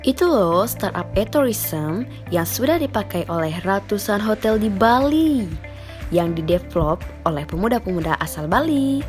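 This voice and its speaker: A woman's voice: medium pitch, lively intonation, normal speed, faint music behind. Woman presenting an interview show. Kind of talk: casual scripted hosting and questions.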